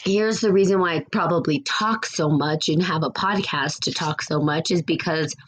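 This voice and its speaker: Woman podcast host, low raspy voice, meandering reflective delivery. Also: normal speed, low-quality recording. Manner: rambling and reflective, with frequent restarts. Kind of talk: casual spiritual podcast talk.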